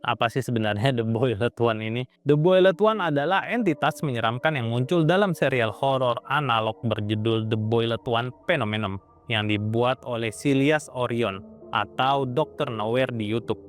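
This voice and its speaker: A man's voice: medium pitch, moderate pace, soft background music. A man narrating an explainer as a YouTube host, informal and slangy in his wording. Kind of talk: informal explainer narration about internet horror.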